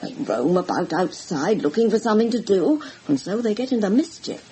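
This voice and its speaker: A woman's voice: medium pitch, big pitch swings, a little fast, warm tone, slight background noise, natural voice. A woman playing a chatty, evasive village housekeeper in a radio drama, homely and matter-of-fact. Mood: explaining.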